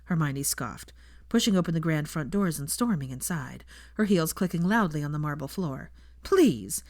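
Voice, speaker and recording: A woman's voice: low-pitched, expressive, moderate pace, darkish tone, no room sound, studio quality. A woman narrating a scripted story as an audiobook reader. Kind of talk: scripted fiction narration with character voices.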